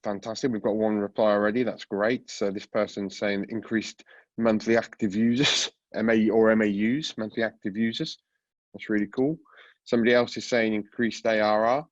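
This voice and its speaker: A man with a cold, sounding congested, presenting a product webinar with a relaxed manner and many fillers. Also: fairly low pitch, normal speed, no echo, dull audio. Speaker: product coach giving a webinar talk. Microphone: video call.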